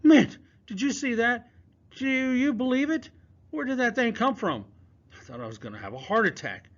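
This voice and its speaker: Man reading as a frantic boy, shrill when panicking, huskyish voice, lo-fi audiobook. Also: sing-song, moderate pace, a bit bright, full character voice. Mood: nervous. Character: jumpy, agitated young boy. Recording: dull lo-fi recording.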